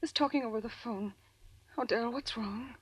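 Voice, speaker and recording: An actress playing a gentle, anxious, devoted sweetheart, breathy and tenderly urgent, in a 1940s radio drama. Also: female voice, on the high side, normal speed. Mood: pleading. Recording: dry vintage radio drama recording.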